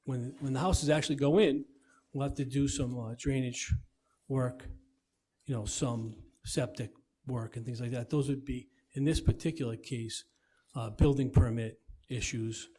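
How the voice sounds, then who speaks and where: A man presenting a subdivision plan to a town planning board, loud and halting with many restarts. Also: mid-range voice, fairly even intonation, husky. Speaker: applicant's representative.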